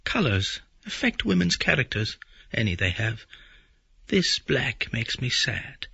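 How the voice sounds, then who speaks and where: A man playing a meek, submissive middle-aged character in an audiobook drama, speaking in florid, pompously wordy, halting phrases. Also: mid-range voice, sing-song, creaky, bright, forceful tone, breathy edge, no room sound, dull audio, exaggerated voice. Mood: dreamy, rambling.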